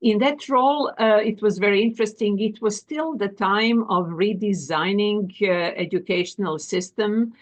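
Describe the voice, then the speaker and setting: A middle-aged woman from Croatia, a professor being interviewed, speaking with many pauses and fillers. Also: medium pitch. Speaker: guest, professor and dean. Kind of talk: interview conversation.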